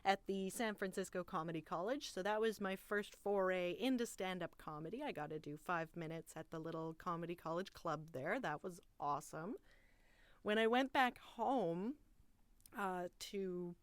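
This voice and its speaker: A woman's voice: fairly low pitch, moderate pace, dry recording. A young woman from Canada, a voice actor, telling her life story in a chatty, upbeat monologue. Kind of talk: personal bio monologue. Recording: dry, clean studio audio.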